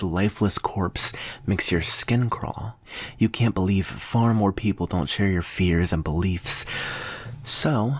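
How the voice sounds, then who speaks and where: A smug vampire schoolteacher acted by a man speaks in a very low, husky voice. He is amused and condescending, teasing his listener with slow, deliberate pauses. It is an intimate ASMR roleplay with a very dry sound. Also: no room sound, heavily acted. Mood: skeptical, probing. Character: smug, amused male vampire teacher lecturing a student.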